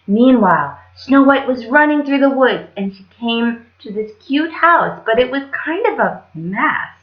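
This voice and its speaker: A woman's voice: fairly high pitch, expressive, a little slow, muffled recording. A woman telling stories to children as a library storyteller, in a casual style.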